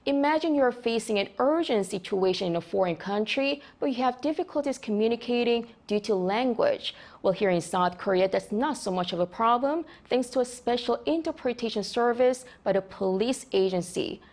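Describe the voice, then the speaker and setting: A woman anchor reading news items in a clear, steady delivery. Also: fairly high pitch, moderate pace, few pauses.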